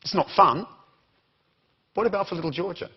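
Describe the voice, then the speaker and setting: A man's voice: high-pitched, moderate pace, gravelly, husky, highs cut off. A man with an Australian accent giving an interactive conference talk, questioning the audience as he goes. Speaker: conference speaker.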